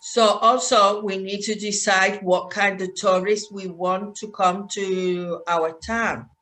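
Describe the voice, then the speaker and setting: A woman leads an English conversation class, her voice bright and forward. She speaks very slowly and clearly, pausing on plenty of "uh"s to keep learners with her. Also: mid-range voice, steady tone, rough recording. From United Kingdom. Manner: slow and clear, with many fillers. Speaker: English teacher and host.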